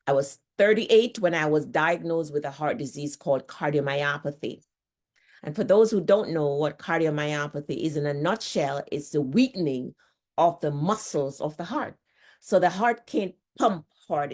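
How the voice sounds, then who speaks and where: A middle-aged woman from Jamaica with a Jamaican accent, a nurse and author chatting as a guest, repeating words as she talks. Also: low-pitched. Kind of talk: casual conversational talk.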